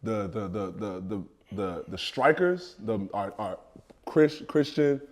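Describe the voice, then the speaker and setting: Low-voiced young man, halting. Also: animated, a little mellow. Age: young adult. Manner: hesitant, repeats and restarts phrases. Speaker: college football player. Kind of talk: casual chat.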